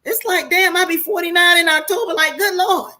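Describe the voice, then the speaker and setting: High, bright-voiced American woman in her forties. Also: fairly quick. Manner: candid, colloquial, preachy, swears freely. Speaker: online show host talking to viewers. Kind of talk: casual livestream monologue.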